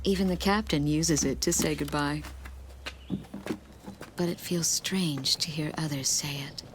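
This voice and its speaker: An actress playing a pensive, war-weary woman, narrating her journey in a measured, scripted dramatic style. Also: low-pitched, noisy background.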